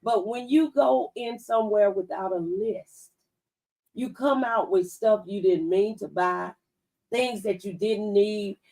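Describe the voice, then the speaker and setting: A woman's voice: medium pitch, slow pace, darkish tone. Woman speaking a bit far from the mic. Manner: folksy, preachy, gives advice through plain analogies. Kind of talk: casual radio talk-show chat. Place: radio studio.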